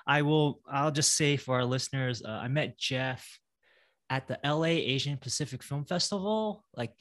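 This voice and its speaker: Halting man hosting a podcast. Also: medium pitch, fairly bright tone. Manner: halting, many fillers and restarts. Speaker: podcast host. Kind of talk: casual interview chat.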